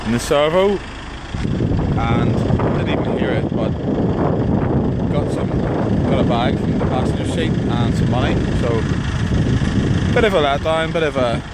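A man's voice: mid-range voice, expressive, measured pace, long pauses. Northern Irish man vlogging from his phone. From Northern Ireland. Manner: chatty, joking, rambling with restarts. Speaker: travel vlogger. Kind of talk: casual travel vlog. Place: service stations, shops and outdoors in summer. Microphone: phone at arm's length. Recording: loud background noise.

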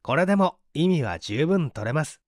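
Male reader as learned male detective, didactic and assured, high lively voice, mystery audiobook. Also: normal speed, dry recording, clean studio sound. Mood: assured, explaining. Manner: didactic, articulate. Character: male detective scientist, assured, patiently explaining his deductions. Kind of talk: dramatised audiobook reading.

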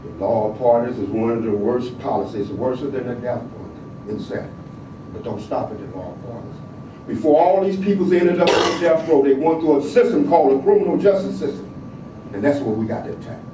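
An older man from the American South speaking at a podium microphone, swinging between quiet reflection and loud, emphatic exhortation. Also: medium pitch, expressive, a little fast, far from the mic, background noise. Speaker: panel speaker. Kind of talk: impassioned activist speech. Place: large hall in a state capitol. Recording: echoey hall, heard from afar.

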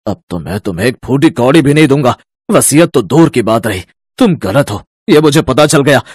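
Guy doing a middle-aged sasur in a cartoon, earnest n anxious, husky, lively pitch. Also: moderate pace, no room sound. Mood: defiant. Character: infatuated, anxious middle-aged father-in-law, later remorseful.

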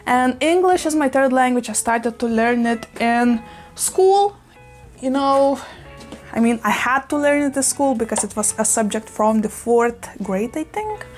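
Fast-talking young woman, Russian native. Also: fairly high pitch, expressive. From Russian-speaking, living in Lithuania. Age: young adult. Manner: fast, gushing, chatty with many exclamations. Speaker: plant vlogger. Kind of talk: casual chat while repotting plants. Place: small indoor room full of plants and shelves. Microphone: fixed camera at desk. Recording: faint background music under the voice. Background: soft background music.

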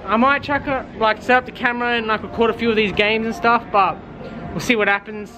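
A man's voice: sits high, steady tone, a little fast. A young man vlogging on location in an arcade, hyped and slangy. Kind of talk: on-location vlog intro. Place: indoor arcade. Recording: loud arcade crowd and music. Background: music and crowd.

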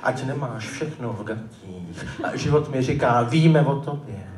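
A man's voice: medium pitch, animated, darkish tone. A man performing slam poetry live in a theatrical, colloquial style with dramatic swings. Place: live poetry slam event before an audience. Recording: roomy, noisy, distant live stage recording.

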